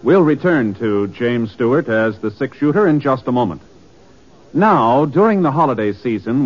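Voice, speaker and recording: A man reading a scripted 1950s radio announcement in a formal, earnest manner. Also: medium pitch. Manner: formal, earnest, measured phrasing. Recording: dull lo-fi 1950s radio recording.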